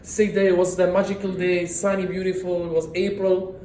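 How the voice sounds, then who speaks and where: A man in his thirties gives an earnest prepared speech, often tripping and restarting on words. His voice sits high. The sound is roomy and echoing, with a faint hum of the meeting room behind it. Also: flat delivery, normal speed, warm tone. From Morocco. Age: 30s. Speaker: club speaker. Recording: echoey room.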